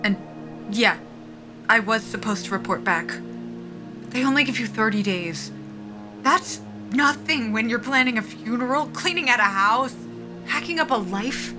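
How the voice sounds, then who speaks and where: An actress playing an anxious, self-deprecating young woman soldier in a sci-fi audio drama, halting and wry in a naturalistic style. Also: fairly high pitch, expressive, music in the background. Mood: halting, subdued.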